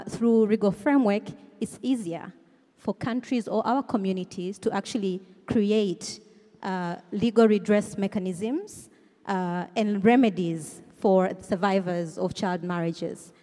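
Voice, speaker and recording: Woman lawyer, mellow tone, quick speech, long hall reverb. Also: medium pitch. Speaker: lawyer, executive director. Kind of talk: speaking at a panel.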